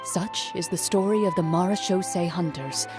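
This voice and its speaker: A woman voicing a composed young female monster hunter in a video game, calm and wry, with measured, understated delivery. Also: lowish voice, over music, close to an everyday voice. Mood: solemn storytelling.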